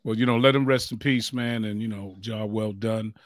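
A man hosting a casual interview show, chatting warmly and calling his guest brother and man. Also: fairly low pitch, steady tone, moderate pace, dry recording, clean studio sound. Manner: warm preacherly asides, calls people brother and man. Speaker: talk show host.

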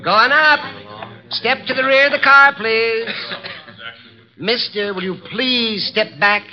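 Bossy young elevator boy, very high voice, slow, old dull radio. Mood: officious. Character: young male elevator operator.

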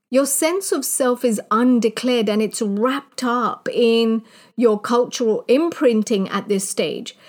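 A woman's voice: a little high, darkish tone. Earnest woman life coach. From Kenya. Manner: earnest, guiding, motivational, direct address. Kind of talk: online coaching mini training.

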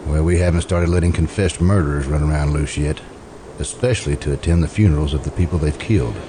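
A man playing a stern, impatient lawman with a gruff, scolding manner in an old-time radio suspense play. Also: rumbling low, quick pace, noisy background. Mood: stern, reproachful.

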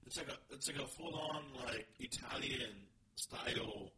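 A man's voice: medium pitch, normal speed, a crowd in the background. A man co-hosting a comedy podcast, chatting casually in a rambling way with many restarts. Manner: rambling, restarts a lot, animated. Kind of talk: casual banter.

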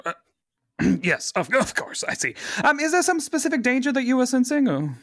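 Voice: high-pitched, big pitch swings, normal speed, a bit bright, no echo, clean studio sound, exaggerated voice. A performer reading a fussy, formal middle-aged male mentor who stammers awkwardly, in a script table read.

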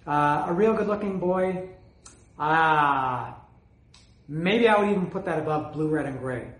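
A man's voice: a little high, measured pace, roomy sound. A man chatting casually about his music collection, rambling and hesitant, often repeating words, saying he is losing his voice. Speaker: music collector reviewing albums.

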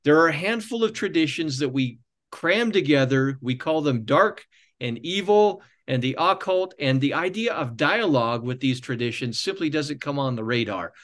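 A man's voice: on the high side, animated, moderate pace, fairly bright tone. A man hosting a podcast interview, setting up his questions in a long, rambling, self-correcting way. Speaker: podcast host.

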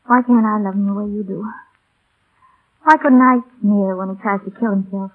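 A woman's voice: mid-range voice, steady tone, normal speed, lightly acted. An actress in a vintage radio drama playing an anxious, lovesick, plucky young woman. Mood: lovesick, shaken. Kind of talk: 1930s-40s radio drama acting. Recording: narrow, tinny vintage radio recording.

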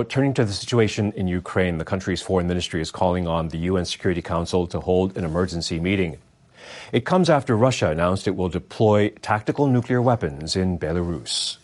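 Really low voiced guy reading scripted news, very dry sound. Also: a little mellow, no echo. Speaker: news reader.

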